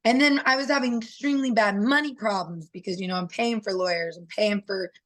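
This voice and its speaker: Raspy woman in her thirties, interview guest, candid and earnest, mid-pitched voice. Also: fairly even intonation, a little fast, a bit bright. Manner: open and earnest, with frequent fillers. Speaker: interview guest, professional fighter. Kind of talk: candid personal storytelling.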